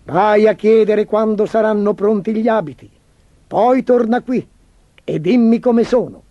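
A man voicing a vain, imperious, excitable emperor in a children's storybook, with a pompous, demanding manner. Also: sits high, measured pace, no echo, dull audio, voice pushed up. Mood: scheming, commanding. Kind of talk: theatrical children's storybook acting.

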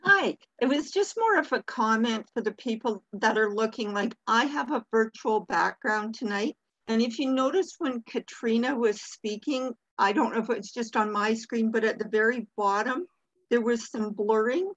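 Older woman, fairly high voice, dull call audio. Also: normal speed, fairly bright tone, no room sound. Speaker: workshop participant. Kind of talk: informal comment on a video call. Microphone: video call computer mic.

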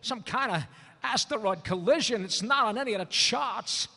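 High, bright, cocky space smuggler. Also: male voice, expressive, moderate pace, vocal fry, exaggerated voice. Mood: urgent. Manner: brash, sarcastic. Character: cocky, cynical male space smuggler as loud cartoon character. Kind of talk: comic live table read. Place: large convention hall stage. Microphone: table microphone. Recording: reverberant convention hall panel.

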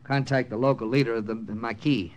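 A man's voice: medium pitch, steady tone, brisk, darkish tone, highs cut off, a little hiss or hum, close to an everyday voice. A man acting a tough, grim American intelligence captain who narrates an old radio drama tersely. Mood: urgent. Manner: terse, hard-boiled. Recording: old radio recording with music behind.

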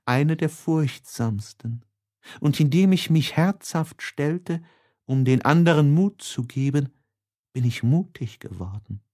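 Mann spricht lebhafte junge Frau, bedächtiges Tempo. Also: male voice, on the high side, animated, takes it slowly, mellow. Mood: warm, confiding. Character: lively, brave young woman leading a parlour game. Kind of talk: audiobook character dialogue.

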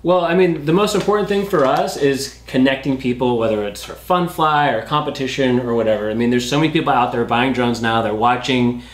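A man's voice: medium pitch, a little fast, hardly any pauses. Man explaining casually in an echoey workshop. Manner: conversational, explanatory, uses "like" and "you know" often. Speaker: guest, drone app company representative. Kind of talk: casual product interview. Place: indoor workshop with hard walls. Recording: roomy echo, clear background noise, clicks.

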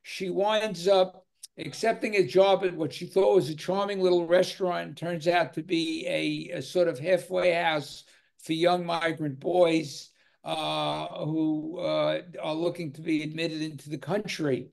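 A man's voice: on the high side, little pitch movement, unhurried, vocal fry. An older man moderating a film discussion on a video call, talking with many fillers. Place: home room with virtual cinema backdrop.

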